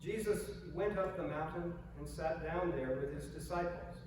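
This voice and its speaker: A gentle, soft-spoken male pastor with a slightly higher voice than most men, reading scripture and preaching in an unhurried way. He sounds far from the microphone, with a long reverb ringing through a big old church sanctuary. A clear hiss of noise sits under his words. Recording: echoey church hall from far off, noisy.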